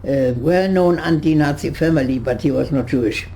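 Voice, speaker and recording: Gravelly, halting woman over 100. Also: low voice, warm tone, slight background noise. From Germany. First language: German. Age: 100s. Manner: halting, many restarts and searches for words. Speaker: interviewee. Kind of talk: reminiscing in conversation. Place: her home.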